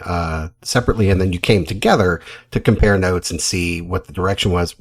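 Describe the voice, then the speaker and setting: Podcast host: American man, very low, husky voice, casual interview delivery.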